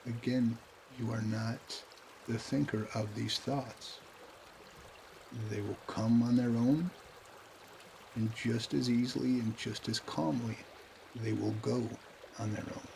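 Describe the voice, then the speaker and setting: Slow, gravelly man guiding meditation. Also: medium pitch, background noise. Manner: calm, unhurried, pausing between short phrases. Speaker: meditation guide. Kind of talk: guided meditation.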